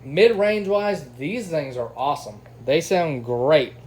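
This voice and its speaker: A man's voice: on the high side, animated, slow pace, a little mellow. A man giving a casual, informal and enthusiastic hands-on product review. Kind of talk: casual unboxing and testing review. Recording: clear background noise.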